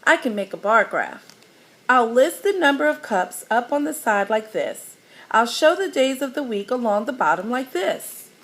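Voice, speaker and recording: A woman's voice: on the high side, very animated, normal speed, fairly bright tone. A woman voicing an organized girl who keeps the sales chart, announcing results eagerly.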